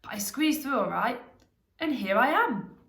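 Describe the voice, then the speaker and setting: A weary little storybook hobbit, voiced by a woman reader. He sounds plaintive and earnest yet plucky, with some creak in the voice. The room around the voice is noticeably echoey. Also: fairly high pitch, expressive, a little slow, fairly bright tone, pitched above the performer's own voice, full character voice. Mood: rueful.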